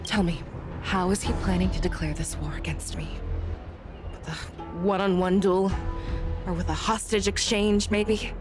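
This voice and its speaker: Casting: actress as shrewd, authoritative game general, mid-pitched and composed, music underneath. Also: female voice, fairly even intonation, close to an everyday voice. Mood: steely challenge. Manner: authoritative, measured. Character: commanding, composed female general, steely and shrewd. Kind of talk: video game voice acting.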